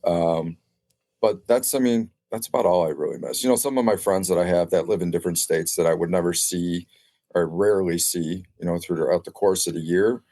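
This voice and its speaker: A man's voice: deep voice, fairly quick, mellow, no echo. A man talking casually as a guest on a sports podcast, reflecting as a former competitor.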